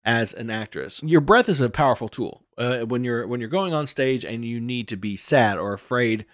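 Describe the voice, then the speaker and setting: A man's voice: mid-range voice, expressive, moderate pace, dry recording. Man, forties, commentary host. Conversational and critical, emphatic delivery, dry close-miked home studio sound. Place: small indoor home studio. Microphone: close microphone on a stand, headset worn.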